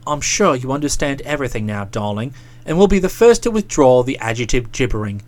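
Woman putting on a pompous young gentleman author. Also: lively intonation, a little fast, no room sound. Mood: self-justifying. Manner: low, pompous, drawling. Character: bluff young male author, airily self-justifying.

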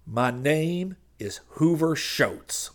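Man putting on a pushy, high-strung preacher-huckster. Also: big pitch swings, normal speed, a bit bright. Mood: growling, scornful. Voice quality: hoarse, breathless. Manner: folksy, ungrammatical, impassioned. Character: pushy, wheedling Southern con-man preacher, male.

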